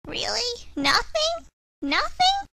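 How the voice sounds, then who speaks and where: A woman with a Canadian accent voicing a hungry, whiny wooden puppet boy, pleading in a high voice. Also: normal speed, creaky, sharp tone, heavily acted.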